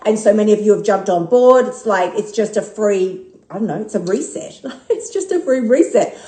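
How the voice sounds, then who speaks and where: A woman's voice: a little high, mellow, roomy sound. A woman in her fifties giving a blunt, casual motivational talk to viewers, swearing freely and laughing often. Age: 50s. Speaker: vlogger and motivational speaker.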